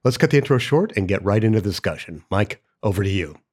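A man hosting a podcast, hoarse from the flu, reading a subdued introduction. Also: lowish voice, lively intonation, fast, a little mellow. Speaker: podcast host. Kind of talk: scripted intro.

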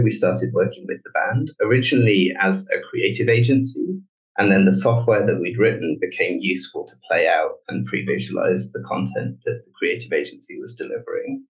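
A man, the guest, explaining his work in a relaxed, conversational way during an interview. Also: sits low, echoey room, lo-fi sound. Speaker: guest, technology director.

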